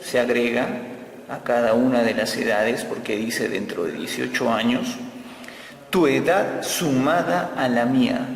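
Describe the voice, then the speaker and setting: Male math teacher, unhurried and gravelly, repeats key steps; recorded in a reverberant room. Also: mid-range voice. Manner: slow, repeats key phrases while explaining. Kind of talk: step-by-step algebra lesson. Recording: echoey room with long reverb.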